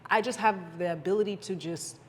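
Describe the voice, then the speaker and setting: A woman's voice: medium pitch, brisk, long reverb tail. A young woman from Kenya, speaking with an American accent as a podcast guest, chatting in an easygoing, thoughtful way. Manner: easygoing, thoughtful, agreeable, frequent "right" and "yeah". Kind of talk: casual conversation.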